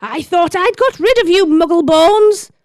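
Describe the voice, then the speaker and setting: A woman's voice: very high-pitched, animated, unhurried, darkish tone, no echo, studio quality, pitched above the performer's own voice. A woman voicing a fierce, unhinged witch villain, threatening and demanding. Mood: menacing anger.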